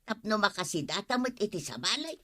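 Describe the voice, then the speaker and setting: An actress playing a long-suffering, grumbling village housewife with an Ilocano accent in a radio comedy drama. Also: female voice, mid-range voice, very animated, fairly quick, bright, forceful tone. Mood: grumbling, resigned.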